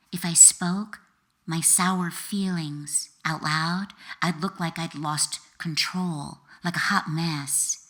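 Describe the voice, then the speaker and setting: A woman author reading her own memoir as an expressive audiobook narrator, with a dramatic, deliberate delivery and emphatic pauses. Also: on the low side.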